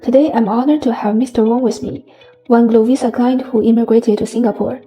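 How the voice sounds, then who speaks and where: A woman's voice: fairly high pitch, fairly even intonation, fairly quick, warm tone. A woman hosting an interview, reading a polished, upbeat script. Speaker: interview host. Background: faint background music.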